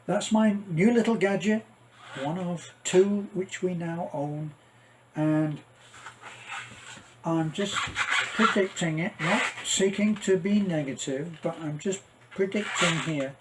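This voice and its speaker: A man's voice: sits high, takes it slowly, noisy background. An older man chatting casually to viewers as a vlogger, wry and self-deprecating, drifting off into asides. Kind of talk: casual rambling vlog chat to viewers.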